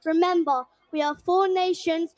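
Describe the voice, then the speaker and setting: Very high-voiced woman rousing a crowd. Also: fairly even intonation, a little slow, a little mellow, dry recording. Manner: cheeky, rousing, calls out to crowd. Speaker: rally speaker. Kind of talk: rally speech. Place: outdoor stage at a street rally.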